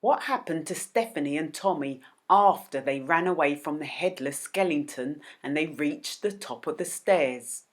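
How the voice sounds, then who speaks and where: A woman presenting a quiz for children as host, speaking deliberately and expressively to young viewers. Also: sits low. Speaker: host of children's read-aloud videos.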